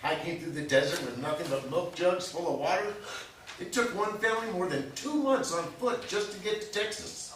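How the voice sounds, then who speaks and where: A man's voice: high voice, normal speed, a bit bright. A man playing an oblivious, defensive older father in a live staged play reading, blustering and protesting. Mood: earnest awe. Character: oblivious, defensive family father, a little forgetful. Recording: very echoey room, distant mic, hiss.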